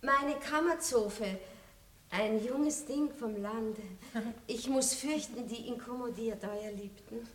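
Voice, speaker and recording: An actress playing a worldly, aristocratic princess with an ironic, animated way of talking in a 1960s radio play. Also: female voice, on the high side, takes it slowly, reverberant. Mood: coolly polite. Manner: animated, ironic, breaks into laughter. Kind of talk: 1960s radio drama acting.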